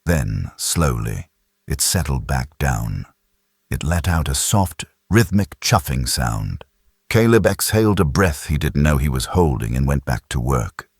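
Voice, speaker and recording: A man narrates a sci-fi audiobook in a very deep, husky voice. His delivery is dramatic, with animated pitch movement. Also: unhurried, dry recording, studio quality.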